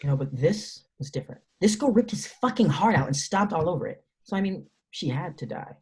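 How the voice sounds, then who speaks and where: A man's voice: fairly high pitch, very animated, a little fast, darkish tone. A man reading a wry teenage boy talking to camera. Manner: conversational, wry, musing. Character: teenage boy, loyal friend, talks to the camera. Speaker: actor in a table reading. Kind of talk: table-read script acting, direct-to-camera asides.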